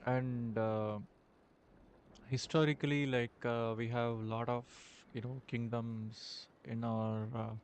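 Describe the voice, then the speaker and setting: A man with an Indian accent chatting in character during a roleplay game, halting and full of fillers. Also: medium pitch, level tone, a little hiss or hum. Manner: hesitant, halting, many fillers. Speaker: roleplay streamer.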